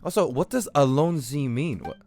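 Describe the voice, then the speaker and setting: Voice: mid-range voice, expressive, normal speed, a little mellow. A streamer giving casual game commentary, joking, slangy and swearing freely.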